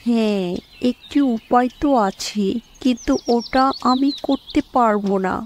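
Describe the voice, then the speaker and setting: A woman voicing a helpful female fairy in a cartoon, calmly explaining and instructing. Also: a little high, measured pace, slight background noise, natural voice. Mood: calm instruction.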